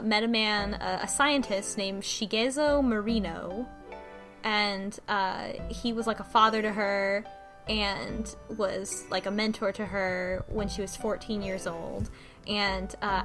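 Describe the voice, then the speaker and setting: A woman co-hosting a podcast, casually retelling a story in halting bursts with false starts and some swearing. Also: mid-range voice. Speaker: podcast co-host recapping a story. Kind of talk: casual conversational plot recap.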